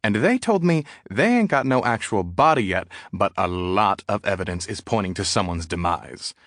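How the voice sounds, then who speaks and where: A man's voice: lowish voice, expressive, normal speed, fairly bright tone, no room sound, studio quality. A man voicing a flamboyant, gossipy Southern man with a drawl in an audiobook. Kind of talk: audiobook character voice.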